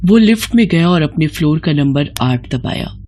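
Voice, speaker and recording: A woman's voice: sits low, expressive, moderate pace, darkish tone. A woman reading a scripted horror story as a dramatic narrator.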